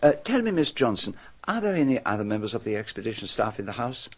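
A man's voice: mid-range voice, sing-song, fast talker, breathy edge. A man playing a shrewd, courtly detective with a French accent in a radio drama, speaking with theatrical, probing courtesy. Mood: courteous, probing.

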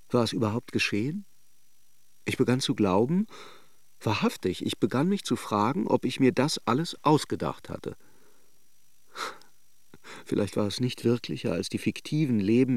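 An actor playing a brooding, introspective lover in a radio drama, speaking measured, self-questioning lines. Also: male voice, on the low side, no echo. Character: brooding male narrator-lover arguing with his own doubts. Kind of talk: literary radio drama monologue.

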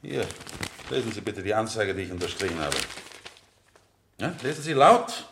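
Insistent lawyer, widely swinging pitch. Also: male voice, animated, normal speed, vocal fry, roomy sound, noisy background. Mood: impatient, forceful. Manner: formal, pressing, theatrical cross-examination. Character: insistent, sharp-questioning male defence lawyer in court. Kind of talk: 1970 German radio drama acting.

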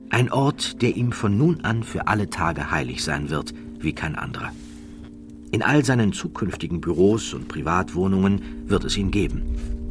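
A man narrates a scripted documentary story in a measured, dramatic way with deliberate pauses. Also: deep voice.

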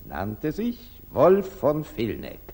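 An actor playing a wise, tender father and merchant, speaking gravely and deliberately in a 1940s German radio play. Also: medium pitch, wildly swooping pitch, dark tone, heavily acted. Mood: grave revealing. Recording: old 1940s radio drama recording.

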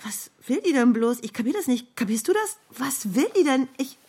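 An agitated, frightened wife in a radio drama. The voice is high and husky with breath, fretful and defensive, tripping over repeated phrases in bewilderment. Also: female voice, very animated, moderate pace, a little mellow, heavily acted. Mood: agitated, indignant. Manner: fretful, repetitive, stammering.

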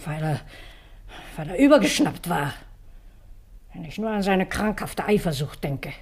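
A bitter older housekeeper in a radio crime play, husky, curt, hard. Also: female voice, lowish voice, lively intonation, a little slow, darkish tone, full character voice. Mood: bitter outburst. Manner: curt, embittered.

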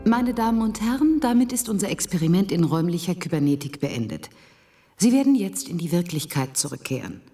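A woman's voice: lowish voice, big pitch swings, dark tone, faint music behind. An actress playing a composed female scientist formally addressing an audience in a radio play. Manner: formal, measured announcing. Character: composed female scientist presenting a virtual reality experiment.

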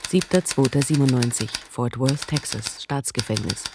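A woman's voice: extremely low pitch, moderate pace. A woman reading out dates and places in a terse, clipped way. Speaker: announcer reading case facts. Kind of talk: scripted captions read aloud. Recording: noisy recording with typewriter clacking.

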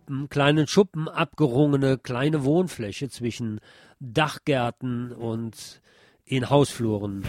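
Man reading local news over music. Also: medium pitch. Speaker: news presenter. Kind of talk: read radio news report.

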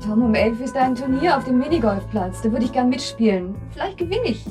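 A woman's voice: on the high side, expressive, a little fast, music in the background, natural voice. An actress in a German radio drama playing an unhappy young woman who pleads with her lover, in naturalistic style. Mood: casual, evasive.

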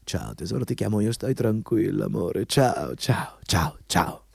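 An actor in an Italian radio play playing a ruthless, patronizing company interviewer who talks in a hectoring, insistent way. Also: male voice, on the low side, lively intonation, measured pace, creaky, darkish tone, slightly rough, dry recording, heavily acted. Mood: hushed, affectionate.

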